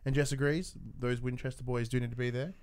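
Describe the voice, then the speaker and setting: A man's voice: mid-range voice, fairly even intonation, fast talker, darkish tone, clean tone. A man co-hosting a casual podcast chat, putting questions to his colleague. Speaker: podcast co-host reading listener questions.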